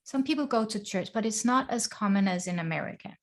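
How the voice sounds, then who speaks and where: A woman's voice: medium pitch, lively intonation, fast talker. Earnest woman. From Denmark. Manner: earnest, reflective, speaks in short phrases. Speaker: guest, life coach. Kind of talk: conversational interview. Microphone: video call.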